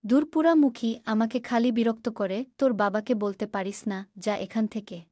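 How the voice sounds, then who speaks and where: A woman playing a worried, exasperated poor village mother in a cartoon, plaintive and quick to scold. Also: a little high, mellow, no room sound, studio quality, lightly acted.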